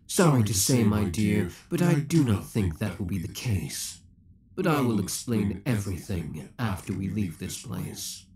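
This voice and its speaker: A man's voice: lowish voice, lively intonation, unhurried, darkish tone, a little hiss or hum. A man playing an obsessive young villain in a roleplay audio drama, theatrical and formal, taunting with cold affection. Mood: possessive, controlled. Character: obsessive young male villain, possessive, menacing, coldly affectionate.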